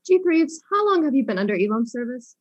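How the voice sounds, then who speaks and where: A woman's voice: high-pitched, big pitch swings, a little fast, dull audio. A woman playing a polite, probing detective who questions suspects in a mystery drama. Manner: courteous, inquisitive. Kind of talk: acted mystery audio drama.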